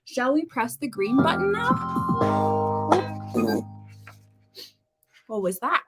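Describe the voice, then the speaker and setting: Animated woman reading a picture book aloud. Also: high-pitched, drawn-out words, over loud music. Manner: theatrical, playful, with dramatic gasps and exclamations. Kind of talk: animated interactive children's read-aloud.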